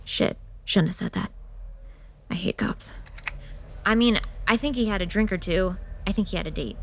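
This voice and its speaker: A woman's voice: mid-range voice, normal speed, natural voice. An actress playing a dry, sarcastic young woman mortician who sees monsters, in a horror audio drama. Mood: guarded, deadpan. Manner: dry, sarcastic, blunt, swearing. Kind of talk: audio drama acting with confiding asides.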